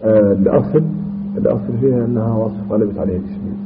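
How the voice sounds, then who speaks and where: A man teaching a classical grammar lesson, explaining slowly with restarts and repetitions and chanting verse lines. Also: fairly low pitch. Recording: tinny phone-like line with background hum.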